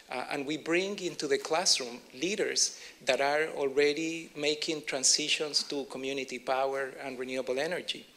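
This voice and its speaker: A man from Chile with a Chilean Spanish accent, giving a conference keynote in a measured way with many pauses and fillers. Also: medium pitch, a bit bright. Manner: measured, filler-heavy delivery. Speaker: keynote speaker.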